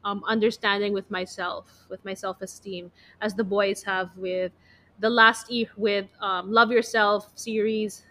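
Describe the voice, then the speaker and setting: A woman's voice: mid-range voice, level tone, unhurried, sharp tone. A young Filipino woman co-hosting a casual podcast, chatting in a rambling way peppered with "like". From Philippines. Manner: chatty, rambling, heavy use of "like". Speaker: podcast co-host. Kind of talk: casual chat about pop music.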